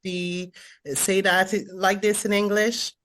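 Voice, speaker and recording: A woman's voice: mid-range voice, flat delivery, slow, fairly bright tone. A woman with a French Canadian accent, a native French speaker, introducing herself on a video call while searching for words in English. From Montreal, Canada. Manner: hesitant, searching for English words, many fillers. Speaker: remodeling franchise owner, meeting participant. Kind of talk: casual self-introduction on a group call.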